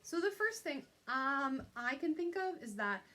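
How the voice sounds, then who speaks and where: A woman with a somewhat high voice speaks as a teacher to young pupils. She explains in an instructional way, point by point, and often adds short tag questions to check understanding. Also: big pitch swings, unhurried, sharp tone.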